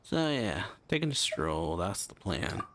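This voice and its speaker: A man's voice: sits low, very animated, a little slow, fairly bright tone, a little hiss or hum. A man giving casual, laid-back play-along game commentary in short muttered asides. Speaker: YouTube game streamer reacting. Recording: game music behind voice.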